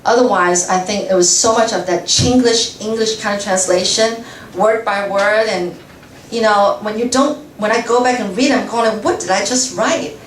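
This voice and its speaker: A woman's voice: mid-range voice, normal speed. A woman speaking as a guest to a class, chatty and casual, laughing often and restarting her sentences. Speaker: guest speaker, radio journalist. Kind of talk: talk answering audience questions. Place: lecture room. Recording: echoey room from far off, faint noise.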